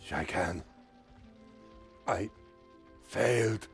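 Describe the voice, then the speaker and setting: Low-voiced, dying commander. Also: male voice, faint music behind. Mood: dying, resigned. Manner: laboured, halting. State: mortally wounded, gasping. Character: dying male war commander, proud and resigned. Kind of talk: fantasy video game voice acting.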